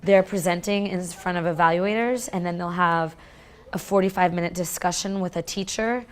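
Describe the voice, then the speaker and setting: A woman teacher calmly explaining her school's dance project in a documentary interview. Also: fairly low pitch. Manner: explanatory, measured.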